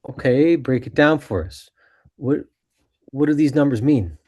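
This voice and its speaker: A man's voice: medium pitch, animated, measured pace, a little mellow, breathy edge, highs cut off. A man playing an inquisitive, hard-to-satisfy audience member at a screenplay table read. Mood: questioning.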